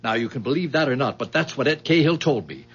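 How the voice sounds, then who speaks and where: An American actor playing a hot-tempered, bullying company boss in a 1970s radio drama. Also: male voice, medium pitch, lively intonation, fast talker, husky. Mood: urgent, demanding. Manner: forceful, barking, domineering. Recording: muffled vintage radio broadcast.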